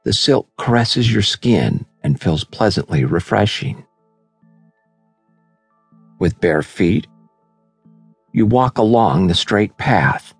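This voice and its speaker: Low husky guy reading a guided meditation real slow and soothing, soft music behind him. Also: animated, dry recording. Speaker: audiobook narrator, meditation guide. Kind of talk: scripted guided meditation narration. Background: background music.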